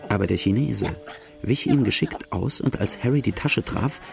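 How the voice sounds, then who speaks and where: A man's voice: fairly low pitch, animated, normal speed, vocal fry, over music. An actor narrating a radio drama in the first person as a plain-spoken outlaw looking back on his boyhood. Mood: reflective.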